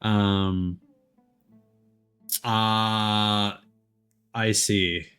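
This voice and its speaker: A man's voice: fairly low pitch, flat delivery, very slow, a bit bright, smooth, faint music behind. A man streaming a game, chatting casually and hesitantly as he answers viewers and drifts into asides. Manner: hesitant, digressive, replying to viewers. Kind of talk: casual live-stream chat.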